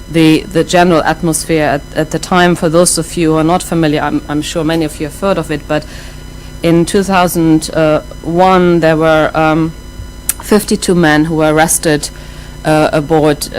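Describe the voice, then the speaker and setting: A middle-aged woman with a German accent giving a lecture, thoughtful and hesitant, with many pauses and restarts. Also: low-pitched, slight background noise, rough recording. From half German, half Iraqi. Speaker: academic speaker.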